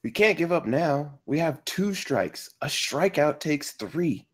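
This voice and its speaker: Man acting exasperated dad buddy, animated delivery. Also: a little high, takes it slowly. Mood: pushy. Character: harried expectant father, brash buddy, dad of many. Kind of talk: table read of a film script.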